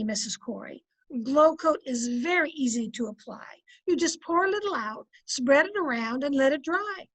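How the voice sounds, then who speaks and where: Woman playing a high-voiced, wry, chatty housewife. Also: fairly bright tone, poor audio. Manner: quipping, persuasive. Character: wry, chatty housewife, wife of census taker. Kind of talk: old-time radio comedy acting.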